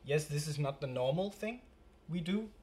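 A man's voice: on the high side, lively intonation, moderate pace, darkish tone. A man with a Danish accent, the band's singer, chatting casually in English and sometimes searching for words. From Denmark. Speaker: band member, singer.

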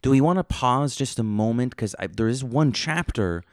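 A man co-hosting a casual book discussion, relaxed, with joking asides. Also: medium pitch, moderate pace, no room sound, clean studio sound. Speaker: podcast co-host.

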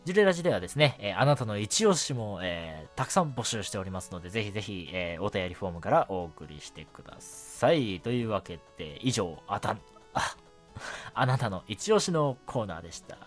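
A man's voice: on the low side, very animated, brisk. Guy hosting a radio segment, really up and down delivery, trips over words, music behind him. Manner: filler-heavy, stumbling over words, self-correcting. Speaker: radio show host. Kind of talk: solo radio show segment, reading listener mail and chatting. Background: background music.

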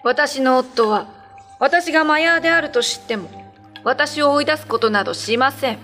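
A woman acts out a cat spirit who is a loving, trusting wife and mother. The speech is formal and earnest, in a fairly high voice, with music behind this radio drama. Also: moderate pace, pushed and bright. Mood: earnest, defensive. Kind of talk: radio ghost-story drama.

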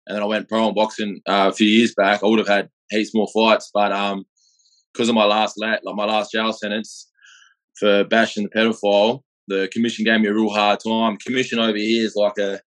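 A man's voice: fairly low pitch, a bit bright, poor audio. An Australian man in his thirties, a guest telling his life story in a plain-spoken, rambling way with restarts and the odd swear word. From Australia (Perth). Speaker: guest, gym owner and boxer. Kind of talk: casual storytelling in an interview.

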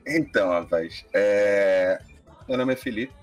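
A man in his thirties from Rio de state, chatting casually as a podcast guest in a relaxed, slangy way full of fillers. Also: lowish voice, slow, soft background music. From Mesquita, Rio de Janeiro state, Brazil. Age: 30s. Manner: relaxed, slangy, laid-back with many fillers. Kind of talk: casual interview chat.

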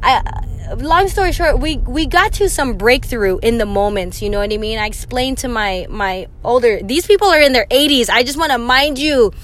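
Young female podcast host, rambling casual chat, high voice, soft car noise under her. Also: expressive, normal speed. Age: young adult. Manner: chatty and rambling, laughing, many fillers. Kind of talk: casual solo podcast monologue.

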